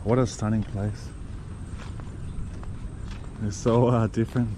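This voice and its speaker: Low-voiced man vlogging outdoors. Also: slow pace. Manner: relaxed, chatty, talks to animals in a higher playful voice. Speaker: travel vlogger. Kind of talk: casual travel vlog narration. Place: outdoors in tropical resort grounds. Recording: outdoor noise with crickets and insects.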